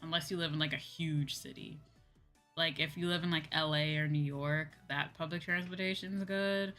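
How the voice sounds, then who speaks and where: Lower-voiced woman streamer chatting over music. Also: steady tone, normal speed, a bit bright. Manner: rambling, thinking aloud, loosely repeating points. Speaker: livestream host and virtual streamer. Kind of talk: casual livestream chat with viewers. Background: background music.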